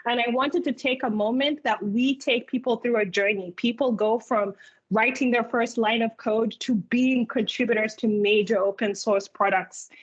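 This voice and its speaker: A woman's voice: on the high side, fairly even intonation, normal speed. Ugandan-born woman presenting on a muffled call. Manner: clear, organized presenter, speaking in lists. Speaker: keynote speaker and product manager. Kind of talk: presentation at a virtual hiring event. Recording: muffled video call audio.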